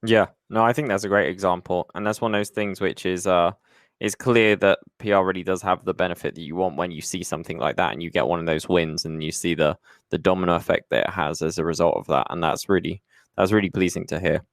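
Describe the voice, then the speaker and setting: A man's voice: low-pitched, steady tone, fast talker, no room sound, studio quality. A man hosting an interview podcast, affirming his guest in an upbeat way and asking questions. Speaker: podcast host.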